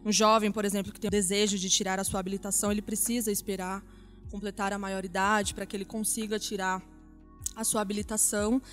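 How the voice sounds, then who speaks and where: A woman's voice: mid-range voice, flat delivery, fast talker, faint music behind. Friendly woman hosting a podcast. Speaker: podcast host. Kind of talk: casual church podcast hosting.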